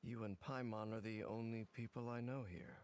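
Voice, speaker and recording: A man's voice: fairly low pitch, moderate pace, darkish tone, lightly acted. A man playing a reserved, grave wanderer with a dry, guarded manner in a video game. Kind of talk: video game character dialogue. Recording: faint noise from game stream.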